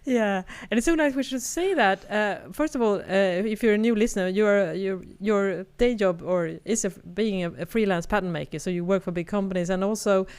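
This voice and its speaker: A woman with a Swedish accent, co-hosting a podcast in relaxed conversation. Her voice is smooth and clear at a middle pitch. She talks chattily, laughs easily and often restarts her sentences. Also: a little fast, hardly any pauses. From Sweden. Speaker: podcast co-host. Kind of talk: casual chat.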